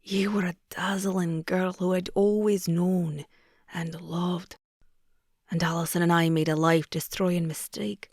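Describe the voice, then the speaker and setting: A young Scottish man speaks low and hushed, full of earnest regret, as a woman puts him on. His voice sits on the lower side and comes through dry and close, with a gentle Scottish lilt. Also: husky, dry recording.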